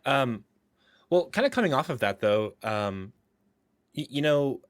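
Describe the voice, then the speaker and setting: A man's voice: medium pitch, very animated, unhurried, dry recording. A man co-hosting a podcast, chatting casually in an informal, wry, rambling way. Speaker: podcast co-host. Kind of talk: casual conversational interviewing.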